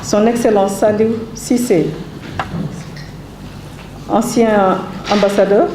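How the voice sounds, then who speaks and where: A woman's voice: mid-range voice, fairly even intonation. Congested woman giving a formal speech. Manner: measured, formal, with hesitant fillers. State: slightly congested from a cold. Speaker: author presenting her book. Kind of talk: formal thank-you speech. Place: event hall. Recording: roomy hall with background noise.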